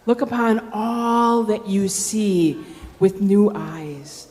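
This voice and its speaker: A woman voicing a gentle rainforest child, solemn and measured, pleading in live storytelling. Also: medium pitch, fairly even intonation, voice pushed down. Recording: echoey hall, faint background noise.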